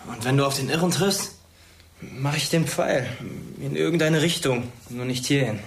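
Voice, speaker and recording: A man's voice: medium pitch, moderate pace, natural voice. An actor playing an uneasy young male pupil, colloquial and youthful, in a naturalistic radio drama. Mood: defensive.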